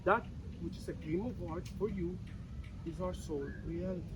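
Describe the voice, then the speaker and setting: A man's voice: sits high, normal speed, natural voice. An actor in a dramatic play reading, playing an earnest, philosophical man with a formal, emphatic, argumentative manner. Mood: earnest, polite. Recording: distant mic, faint background noise.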